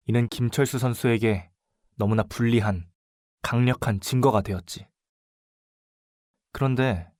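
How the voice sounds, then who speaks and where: Actor as a composed young investigator over music. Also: male voice, mid-range voice, a little mellow, dry recording, clean studio sound, close to an everyday voice. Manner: measured, explanatory. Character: composed, observant young male investigator telling his case. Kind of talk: audio drama narration and dialogue.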